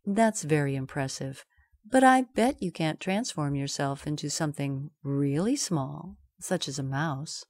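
A woman's voice: medium pitch, animated, measured pace, darkish tone, no room sound, clean studio sound. A woman voicing a clever scheming male cat who speaks with courtly politeness, in a storybook reading.

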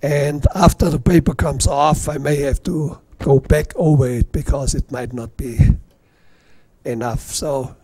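Brief: middle-aged Austrian man, mellow tone, slightly high voice, halting club demonstrator, dry close sound. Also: steady tone, husky, no echo. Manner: halting, self-deprecating, many restarts. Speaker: woodturning demonstrator. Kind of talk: casual workshop demonstration. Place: club meeting room.

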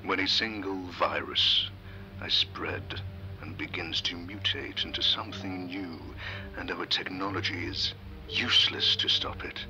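A man delivering a dramatic scripted monologue in solemn, halting short phrases. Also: low-pitched, steady tone, pushed and bright, soft background music, low-quality recording.

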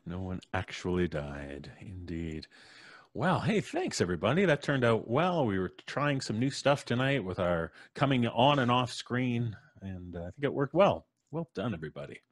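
A man's voice: on the low side, very animated, normal speed, dry recording. A middle-aged man casually hosting a live stream, speaking into a studio microphone. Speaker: podcast host. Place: home recording booth on a video call. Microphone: studio mic on boom arm.

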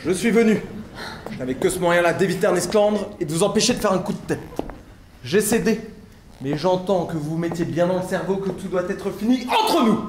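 Wildly animated, flustered gentleman in farce. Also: sits high, fairly quick. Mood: exasperated. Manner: exasperated, emphatic, repeats himself. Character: flustered gentleman lover, fussy, blustering and cowardly. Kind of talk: broad theatrical stage farce acting. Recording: echoey stage, faint background noise.

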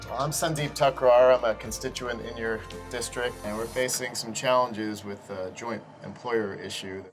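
A man's voice: medium pitch, fairly even intonation, normal speed, soft background music. A man who owns a hotel, briefing a group and speaking up in meetings indoors. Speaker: hotel owner leading an advocacy group.

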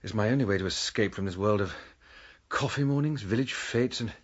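An actor playing a testy, sardonic military gentleman in a radio drama, well-mannered with a sarcastic edge. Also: on the low side, brisk, husky, highs cut off. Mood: stiffly apologetic.

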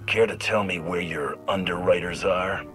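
A man's voice: sits low, normal speed, a bit bright, faint music behind. A man playing a tough mercenary bounty hunter in a video game, terse, dry and cool. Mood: stern, threatening.

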